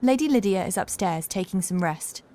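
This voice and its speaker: A woman's voice: medium pitch, animated, moderate pace, warm tone, natural voice. Woman playing wary, guarded housekeeper. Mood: firm, formal. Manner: cautious, curt. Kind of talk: dramatised horror audiobook.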